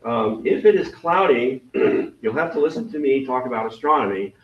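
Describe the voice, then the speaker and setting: A deep-voiced man gives club meeting announcements in a rambling, joking, self-deprecating way. He is picked up from across an echoey room. The sound is dull and muffled. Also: expressive, normal speed, a little hiss or hum. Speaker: event committee member. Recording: roomy, distant, dull meeting recording.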